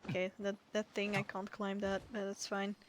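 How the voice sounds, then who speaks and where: A woman's voice: mid-range voice, completely flat delivery, moderate pace, slight background noise. A woman streaming a game playthrough, chatting casually in a rambling, self-deprecating, giggly way. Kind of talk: casual playthrough chat and reading dialogue aloud. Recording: music behind the voice. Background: game music.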